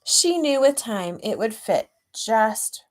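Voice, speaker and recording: A woman reading a children's storybook aloud expressively and gently, with chatty asides to young listeners. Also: on the high side, sing-song, takes it slowly. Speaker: children's author reading own book aloud.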